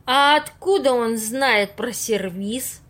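An actress playing an earnest, naive, wondering woman at a séance in an audio play, speaking deliberately. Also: a little high, fairly bright tone. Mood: puzzled. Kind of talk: amateur audio play acting.

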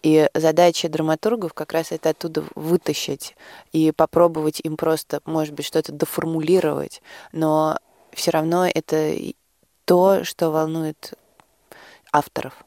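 A woman speaks in a fairly low voice as a guest in a radio interview. Her phrasing is thoughtful and hesitant, with frequent pauses. The recording is close and dry. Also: flat delivery, warm tone, dry recording. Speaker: interview guest, drama project representative. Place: radio studio.

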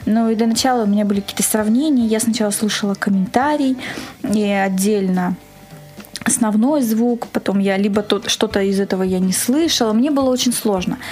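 A woman's voice: medium pitch, fairly quick, darkish tone. A woman speaking as a guest in a conversational radio interview, earnest and enthusiastic in the way she talks. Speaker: guest, organizer of a screening.

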